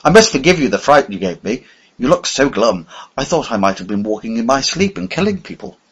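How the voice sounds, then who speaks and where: A man voicing a bewildered, polite elderly English gentleman who hesitates and stammers, his voice climbing high. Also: big pitch swings, a little fast, husky. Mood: flustered.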